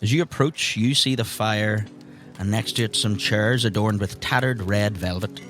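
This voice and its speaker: A man's voice: fairly low pitch, normal speed, fairly bright tone, soft background music. A man working as game master, narrating an improvised tabletop game.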